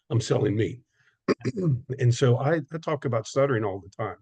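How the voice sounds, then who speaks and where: A man with a slightly husky voice hosts a relaxed conversation. He stutters often and repeats words and sounds as he talks. He keeps a friendly, conversational pace. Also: medium pitch. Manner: stuttering speech with repetitions, conversational. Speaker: podcast host, business owner. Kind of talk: casual conversation.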